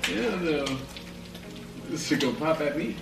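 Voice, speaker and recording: A young man banters casually and slowly, his pitch moving around a lot. He sounds off-camera and distant in an echoey home kitchen, with music behind. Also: lively intonation, vocal fry, noisy background. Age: young adult. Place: small home kitchen, indoors. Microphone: camera across the room.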